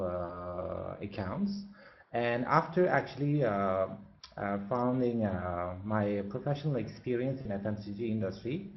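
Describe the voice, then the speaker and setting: Low-voiced Turkish man, hesitant. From Turkey. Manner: hesitant, with frequent fillers. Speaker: MBA student. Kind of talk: self-introduction on a webinar. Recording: echoey, dull lo-fi webinar audio.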